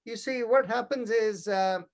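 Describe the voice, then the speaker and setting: A man's voice: very high voice, unhurried. A middle-aged man from India with an Indian accent and Tamil as his first language, teaching yoga philosophy in a didactic, explanatory way and checking that listeners follow. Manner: didactic, checks understanding with short tag questions. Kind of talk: explanatory spiritual lesson.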